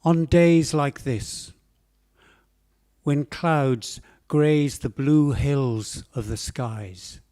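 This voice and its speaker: A Welsh man reads his own poetry at a cafe open mic. He speaks slowly and deliberately, with a mid-range voice and hesitant pauses. His delivery is measured and unhurried. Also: mid-range voice, warm tone. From Wales. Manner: slow, measured, with hesitant fillers.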